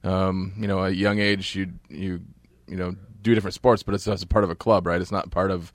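A man's voice: sits low, level tone, fairly quick, no room sound. A man hosting a casual sports interview, asking questions in a rambling, hesitant way. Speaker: host interviewer.

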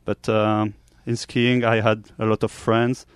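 Young man, halting English, clear tone, flat intonation, interview guest. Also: lowish voice, no echo, clean studio sound. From Switzerland. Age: young adult. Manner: halting non-native English. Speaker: student athlete, interview guest. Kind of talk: casual interview answers.

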